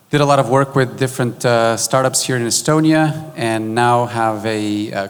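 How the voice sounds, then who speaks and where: Man from Portugal in a reverberant hall. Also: fairly low pitch. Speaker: panelist, startup co-founder. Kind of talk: conference panel introduction. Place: conference stage in a large hall.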